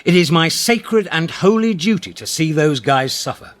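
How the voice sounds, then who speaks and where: A man in a radio comedy drama playing a cocky, impulsive galactic travel writer, breezy, slangy and excitable. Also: fairly high pitch, moderate pace, close to an everyday voice.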